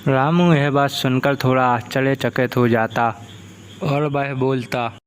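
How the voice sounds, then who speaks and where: Male story narrator, mid-pitched, unhurried, very even intonation, scripted delivery. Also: clear, resonant tone.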